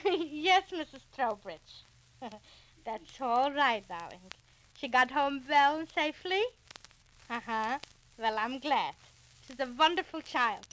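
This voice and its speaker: A warm, flustered immigrant mother in a 1940s radio comedy-drama. Her voice is high and bright, with a New York Yiddish accent. She repeats herself effusively and turns her phrases around. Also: lively intonation, takes it slowly, full character voice, low-quality recording. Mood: cheerful, gushing.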